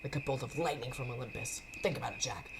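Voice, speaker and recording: A woman's voice: very deep voice, quick pace, background noise, pitched below the performer's own voice. A woman voicing a cocky, flirty, sporty woman potion maker in a visual novel, brash and teasing. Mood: excited. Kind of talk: visual novel character dialogue. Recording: faint music behind.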